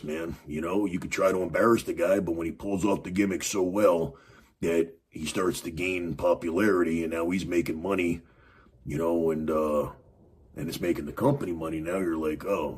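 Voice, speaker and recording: A man hosting a podcast, talking casually about wrestling in a rambling way, with a raspy voice and lots of fillers. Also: low voice, a little mellow, slight background noise. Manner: rambling, many fillers and restarts. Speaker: podcast host. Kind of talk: casual wrestling commentary monologue.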